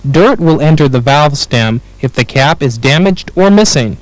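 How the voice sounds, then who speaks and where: A man with an American accent reading scripted instructional narration for a training film in a clear, measured way. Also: a little high, animated, no room sound, low-quality recording.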